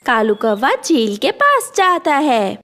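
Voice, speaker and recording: High-pitched woman narrating a children's story. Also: wildly swooping pitch, slow, a bit bright.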